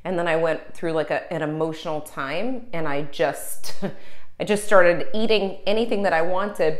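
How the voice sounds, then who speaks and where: A woman co-hosting a podcast, chatting casually and filling her talk with "like" and "um". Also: deep voice. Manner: chatty, filler-heavy, lots of "like". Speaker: podcast co-host. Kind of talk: casual chat.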